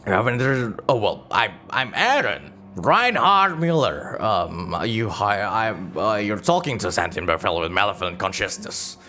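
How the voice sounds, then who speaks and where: A man's voice: on the low side, big pitch swings, unhurried, few pauses, bright, forceful tone, full character voice. A man role-playing an aging, money-minded adventurer who mutters and rambles in mild exasperation. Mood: defensive, exasperated. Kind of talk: improvised role-play.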